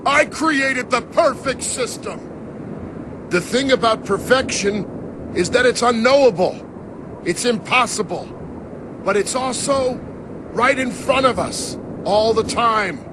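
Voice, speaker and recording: A man playing a weary older father and creator in a game cutscene, speaking in a gentle, measured, regretful way. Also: extremely high pitch, animated, noisy background, full character voice. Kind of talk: dramatic game cutscene acting. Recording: music behind the dialogue.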